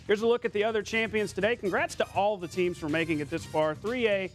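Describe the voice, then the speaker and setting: An American man anchoring a TV sportscast, calling highlights in a playful, colloquial, punchy way. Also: fast, faint music behind. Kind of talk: scripted sportscast with highlight commentary.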